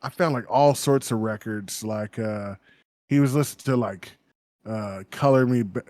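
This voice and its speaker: A man's voice: on the low side, normal speed, darkish tone, no echo, clean studio sound. A man co-hosting a podcast, rambling through stories with lots of fillers and swearing. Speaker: podcast co-host. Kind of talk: casual chat.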